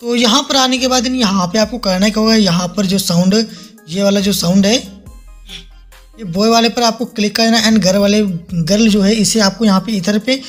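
A man's voice: high voice, moderate pace. A man hosts a step-by-step app tutorial. He guides the listener with repeated instructional phrases. Faint music runs behind his narration. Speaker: tutorial host. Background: soft background music.

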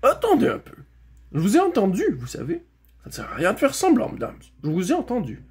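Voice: mid-range voice, very animated, moderate pace, darkish tone, breathy edge, heavily acted. A storybook reader voicing a proud, gallant male talking horse in an emphatic, formal manner.

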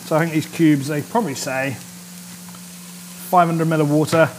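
A man's voice: fairly high pitch, steady tone, moderate pace, slight background noise, low-quality recording. A man in his thirties, a chef hosting a casual cooking demonstration in a studio kitchen, chatty and upbeat and often checking in with his viewers. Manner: chatty and upbeat, keeps checking in with "all right?". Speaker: chef and cooking show host. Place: indoor studio kitchen set. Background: sizzling and frying from the pan.

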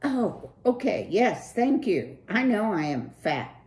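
A woman's voice: mid-range voice, big pitch swings, slow pace, darkish tone, echoey room, pitched above the performer's own voice. A woman voicing a polite, naive young male country mouse who speaks formally and earnestly. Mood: earnest, puzzled.

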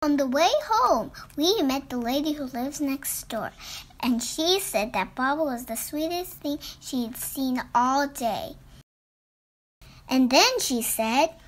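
A young child reading a storybook aloud as its narrator, in an expressive, dramatic way. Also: sits high, slow pace. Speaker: narrator reading in the main character's voice. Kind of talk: scripted storybook read-aloud.

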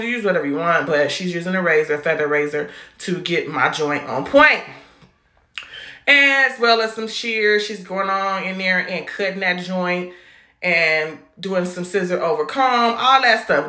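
American woman for casual hair vlog narration: slangy, playful, forceful tone, echoey room sound. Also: fairly low pitch. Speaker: vlogger showing a hairstyle.

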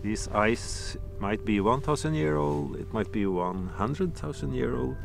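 A Norwegian man, a musician, speaking English as a second language in a calm, reflective documentary interview. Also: medium pitch, a little slow, vocal fry. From Norway. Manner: calm, reflective, slightly non-native phrasing. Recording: music playing behind.

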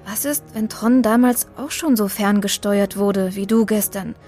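An actress playing an inquisitive, sharp-tongued woman with a sardonic, probing manner in an audio drama. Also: mid-range voice, fairly even intonation, measured pace, soft background music, close to an everyday voice. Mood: pensive. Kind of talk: German audio drama dialogue.

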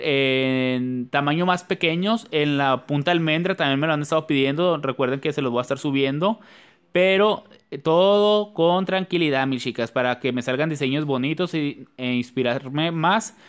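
A man's voice: on the high side, clean tone. A man talking viewers through a nail tutorial in a chatty, repetitive way. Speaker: nail artist. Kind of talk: casual nail tutorial voice-over.

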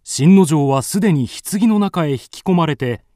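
A man's voice: a little high, expressive, normal speed, mellow, no room sound, clean studio sound. A man narrating a scripted ghost story in a polite storytelling manner.

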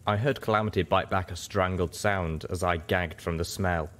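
A man's voice: low-pitched, fairly even intonation, moderate pace, a bit bright. A man reading a first-person story aloud as scripted narration. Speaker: audiobook reader, show host.

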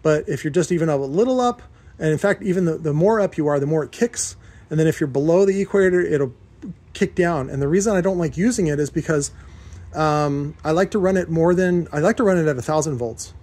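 A man with an American accent and a somewhat higher-pitched voice talks through his workshop. His delivery is casual and unscripted, wandering from point to point in plain, informal language. He sounds like someone presenting his own equipment on a handheld camera. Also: fairly quick, darkish tone. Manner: rambling, informal, occasionally profane. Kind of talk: casual off-the-cuff shop walkthrough. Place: cluttered industrial workshop indoors. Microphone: handheld camera held by the speaker.

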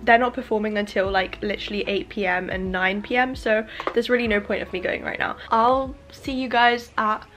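A woman's voice: medium pitch, fairly even intonation, normal speed, few pauses, noisy background. A young woman chatting to camera in a casual vlog, rambling and self-mocking, recorded in her bedroom on a handheld camera. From England. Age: young adult. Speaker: vlogger. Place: bedroom at home. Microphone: camera at arm's length.

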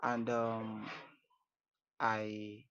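Nigerian guy leaving a voice message, slow n hesitant, repeats himself, dry dull sound. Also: lowish voice, completely flat delivery, a bit bright, no room sound. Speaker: voicemail caller. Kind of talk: informal spoken testimonial.

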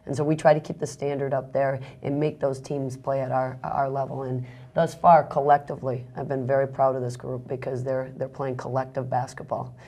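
A middle-aged woman coach answering interview questions in a studio, measured, often repeating words. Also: a bass voice, flat delivery, dark tone. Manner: measured, repeats words when making a point. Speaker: basketball coach. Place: small TV studio, indoors. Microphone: lapel mic.

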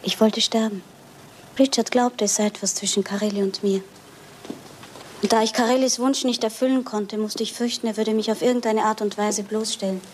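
An actress playing a proud, desperate young wife in a German radio crime drama, speaking in a grave, dramatic way. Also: female voice, fairly high pitch, steady tone, moderate pace, a little mellow, a little hiss or hum, natural voice. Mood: despairing.

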